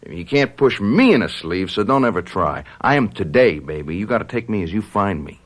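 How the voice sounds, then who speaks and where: An actor playing a callous young hip boyfriend in a radio drama, slangy, flippant and evasive. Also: male voice, lowish voice, expressive, brisk. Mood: breezy, defiant.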